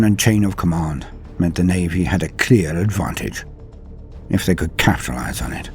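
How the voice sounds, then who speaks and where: A man reading dramatic scripted war fiction as a storyteller, in a grave, measured delivery with deliberate pauses and falling phrase endings. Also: fairly low pitch, darkish tone. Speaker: narrator of a fan-written war story. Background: music under the voice.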